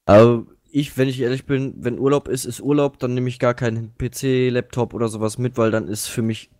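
A man's voice: mid-range voice, steady tone, a little fast, a little mellow, no echo, clean studio sound. A man chatting casually in a group call while gaming, giving his opinions in an earnest way. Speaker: co-player.

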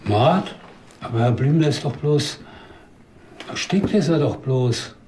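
An actor playing a defensive, evasive middle-aged cinema owner in a TV crime drama. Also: male voice, medium pitch, lively intonation, a little slow, darkish tone, slight background noise, lightly acted.

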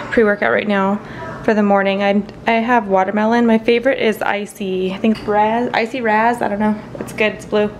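A young adult woman chatting casually as a fitness vlogger into a handheld camera at home. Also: mid-range voice. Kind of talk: casual vlog chat. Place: home kitchen and room indoors. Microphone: handheld camera at arm's length. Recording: clear household background noise.

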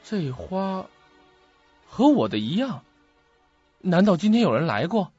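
Young man acted by a man, husky, anxious, hesitant, slow dramatic pacing, suspense radio drama. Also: high voice, very animated, darkish tone, no echo, heavily acted. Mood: puzzled, uneasy. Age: young adult. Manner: dramatic, hesitant, self-questioning. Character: anxious, puzzled young man searching for answers. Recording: muffled lo-fi audio, music behind.

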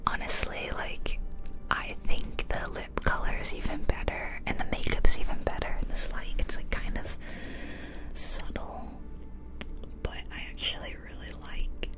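Female ASMR host, fully whispered, unhurried and chatty, close-miked ear to ear, casual game commentary. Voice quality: soft unvoiced whisper. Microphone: close ear-to-ear ASMR microphone.

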